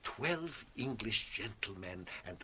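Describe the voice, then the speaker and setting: An actor playing an excitable older foreign waiter in a British radio drama, with theatrical, drawn-out phrasing. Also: male voice, on the low side, a little hiss or hum, full character voice. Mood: proudly explaining.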